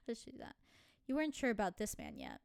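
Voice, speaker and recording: A woman's voice: mid-range voice, huge pitch swings, normal speed, creaky, smooth, dry recording, clean studio sound. A woman playing a story game, reading the narration aloud and adding casual, giggly asides. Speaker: video game streamer.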